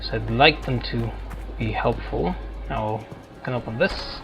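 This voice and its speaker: A man talks through a video game in a casual, offhand way, musing aloud as he plays. His pitch sits in the middle for a man, with game music clearly behind the voice. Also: unhurried, rough recording. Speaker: video game player and commentator. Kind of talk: casual let's-play commentary.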